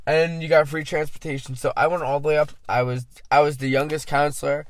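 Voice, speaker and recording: A man's voice: fairly high pitch, little pitch movement, brisk, dry recording. A man hosting a casual interview, talking in long rambling turns full of fillers. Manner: rambling, many fillers and restarts. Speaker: interviewer host.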